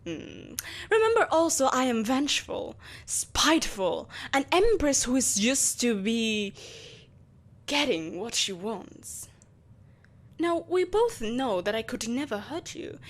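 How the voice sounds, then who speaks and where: A woman playing a vengeful, possessive empress in an audio roleplay, speaking in a haughty, theatrical and deliberately menacing way. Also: on the high side, very animated, full character voice. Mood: silky menace. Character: vengeful, possessive evil empress, soulless, imperious, coaxing a captive. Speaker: voice actor. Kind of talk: scripted audio roleplay acting.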